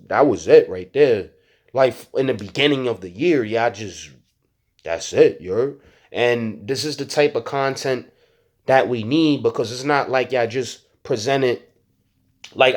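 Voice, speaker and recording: A young man speaks candidly to his online audience in heavy street slang. He stutters and restarts his sentences often, and he keeps checking in with his listeners as he reflects out loud. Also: mid-range voice. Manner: frank street slang, frequent "you know what I'm saying". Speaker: rapper and YouTuber. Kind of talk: casual reflective monologue to viewers.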